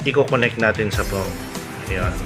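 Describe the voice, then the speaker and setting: A middle-aged man with a Filipino accent, chatting to viewers in a casual vlog full of shout-outs. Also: fairly low pitch, normal speed. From Philippines. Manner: chatty, often addressing viewers. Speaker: motovlogger host. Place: vlogging in an intercom accessory shop. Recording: loud music behind the voice.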